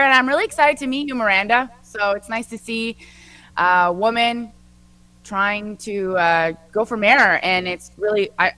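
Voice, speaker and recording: A woman's voice: medium pitch, fairly bright tone, rough recording. A woman chatting casually and in a friendly way as a show's co-host. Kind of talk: casual, friendly greeting chat.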